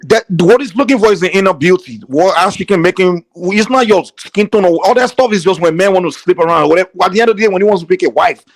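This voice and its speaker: Man, Nigerian accent, insistent, rapid-fire, dry close sound. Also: high voice, no room sound, low-quality recording. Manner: insistent, keeps talking over interruptions. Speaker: guest on a call-in show. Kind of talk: heated panel debate.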